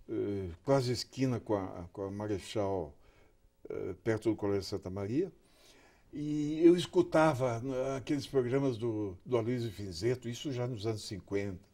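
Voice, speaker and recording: Older male guest, husky, unhurried recollections. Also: mid-range voice, warm tone. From Curitiba, Brazil. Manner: slow, halting, repeats words while recalling. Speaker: guest journalist. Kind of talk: reminiscing interview talk. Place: TV studio.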